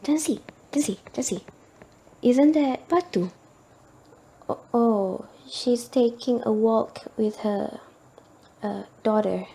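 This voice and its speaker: Compassionate young female friend, acted by a woman, slightly high, mellow voice, radio drama. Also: slow pace, gravelly, long pauses, breathy edge, full character voice. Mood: pitying.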